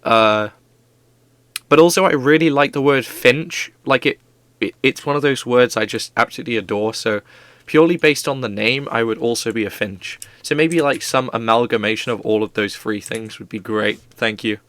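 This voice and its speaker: A man's voice: medium pitch, normal speed, fairly bright tone, no echo. A man streaming live, chatting casually and rambling playfully with his viewers while drawing.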